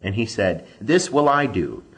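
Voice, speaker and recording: Husky man reading scripture with lively intonation. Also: fairly low pitch, unhurried, rough recording. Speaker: Bible reader.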